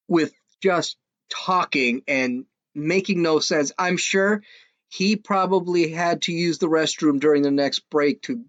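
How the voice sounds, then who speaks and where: A man's voice: fairly high pitch, fairly bright tone, dry recording, muffled recording. Mocking man ranting into a dry mic. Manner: mocking, scoffing, rambling with restarts. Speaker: podcast host. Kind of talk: casual opinionated rant.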